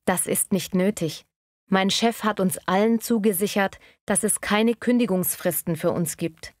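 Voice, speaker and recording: A woman acting a polite, eager bookkeeper at a job interview in a scripted language-learning dialogue, speaking courteously and clearly. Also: medium pitch, measured pace, no echo, clean studio sound, close to an everyday voice. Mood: polite, pleased.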